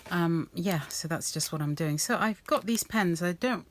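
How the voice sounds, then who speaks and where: Low-voiced woman chatting while crafting. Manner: rambling, soft-spoken, many ums and restarts. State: slightly croaky voice. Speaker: art journaling vlogger. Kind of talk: casual craft-along chat.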